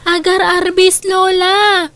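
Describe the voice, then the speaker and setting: A woman's voice: very high voice, flat delivery, slow pace, a bit bright, no echo, heavily acted. A woman playing an eager, affectionate young grandchild in a radio drama. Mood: eager, insistent.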